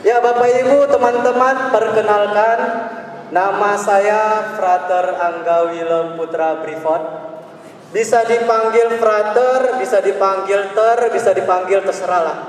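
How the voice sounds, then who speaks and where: A man's voice: sits high, fairly even intonation, unhurried, a little hiss or hum. A young man from Medan, a seminarian with a Medan accent, giving a playful, joking testimony at Mass. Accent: Medan Indonesian. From Medan, North Sumatra. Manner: playful, punning, teasing the congregation. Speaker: seminarian friar. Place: large church. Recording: echoey church from far off.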